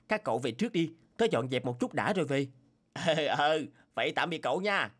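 A man voicing the male characters in a dubbed cartoon folk tale, with animated, emotional delivery. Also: fairly high pitch, normal speed, breathy edge. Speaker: voice actor doing all male parts. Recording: music bed under voice.

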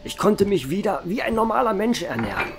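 A man playing a centuries-old vampire who runs a bar, telling his story in a calm, measured way. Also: high-pitched, vocal fry, heavily acted. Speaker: narrator and bar owner. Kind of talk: fan audio drama narration. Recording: music bed under the voice.

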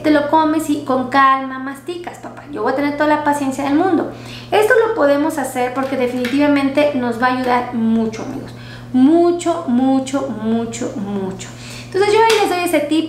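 A woman doctor gives health advice in a chatty way, repeating herself and using diminutives, recorded on a headset mic in a small clinic room. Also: high-pitched. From Mexico. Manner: warm, repetitive, uses diminutives. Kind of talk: casual health talk to viewers. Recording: echoey room with faint hum.

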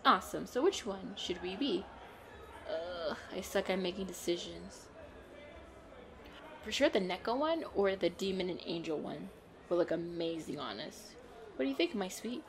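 A woman playing a playful, excitable girlfriend in a chatty roleplay audio, with a bubbly, expressive delivery. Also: on the low side, moderate pace, noisy background. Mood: excited, teasing. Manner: bubbly, expressive, frequent giggles and exclamations. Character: playful, excitable, pleading girlfriend out costume shopping.

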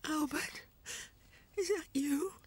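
A ghostly old mother in a horror game, grieving and desperate. Her tearful, breathy wail is piercingly high and slow, over faint noisy ambience. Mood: desperate hope. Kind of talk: video game horror acting.

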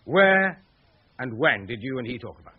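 Radio play: male actor as defensive sceptic writer, hesitant and prickly, animated pitch, dull recording. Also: moderate pace, slight background noise, lightly acted. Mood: defensive, irritated. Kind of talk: radio drama acting.

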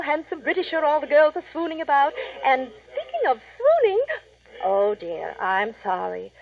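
An actress playing a scatterbrained, self-important Hollywood gossip columnist in a chatty, rambling 1940s radio style, on a vintage recording. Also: female voice, high-pitched, narrow, tinny audio. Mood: gushing, scattered.

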